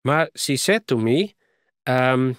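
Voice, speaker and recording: A man's voice: mid-range voice, animated, slow, fairly bright tone, smooth, no room sound, clean studio sound. A man voicing a friendly young Ukrainian woman in a storybook reading, speaking with a Ukrainian accent and searching hesitantly for words. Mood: eager, halting.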